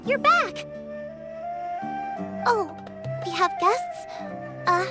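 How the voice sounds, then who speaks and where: A woman's voice: very high-pitched, very animated, drawn-out words, loud music behind the voice, heavily acted. A woman voicing a shy, sweet little girl in a video game, with a childlike, hesitant delivery. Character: shy, sweet little girl, or chirpy small mascot companion.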